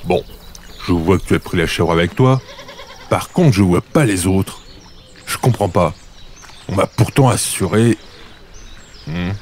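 Male actor as deep-voiced deity for audio comedy, patient yet weary, blunt, deadpan, few words. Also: measured pace, pauses often, a little hiss or hum. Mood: awkward, puzzled. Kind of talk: comedic audio drama dialogue.

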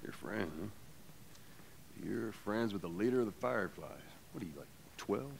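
An actor playing a gruff, weary middle-aged smuggler in a video game, terse and dry with a gravelly voice. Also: male voice, on the low side, unhurried, slight background noise. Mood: skeptical.

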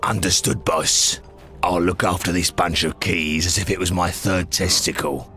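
A man's voice: deep voice, steady tone, moderate pace, music in the background, close to an everyday voice. An actor playing a crude but obedient henchman in a video game, blunt and deferential toward his boss. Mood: dutiful. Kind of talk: video game character acting.

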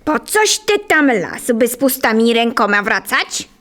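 Piskliwa, chciwa żona zrzęda. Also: female voice, very animated, measured pace, fairly bright tone. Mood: scolding. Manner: shrill, scolding. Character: greedy, nagging, impatient peasant wife. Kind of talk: audio drama acting.

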